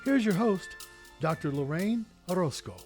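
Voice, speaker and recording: Mellow male announcer over music. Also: high-pitched, expressive, moderate pace. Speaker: podcast announcer. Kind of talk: scripted intro announcement. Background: faint instrumental music.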